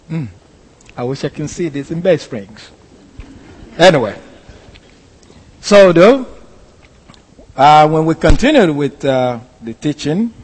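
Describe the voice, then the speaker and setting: A man's voice: fairly high pitch, in a large hall. A man from Nigeria with a Nigerian accent, a pastor telling a story in a sermon, hesitant, with many fillers and restarts. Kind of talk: sermon testimony.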